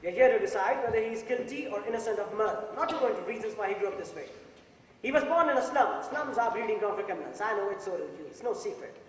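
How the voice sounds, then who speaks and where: Stage play: man as cool, opinionated juror, fast Indian-accented speech, big echo. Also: sits high, animated. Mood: cool, insistent. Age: young adult. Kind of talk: amateur stage play acting. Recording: distant, very echoey hall, faint noise.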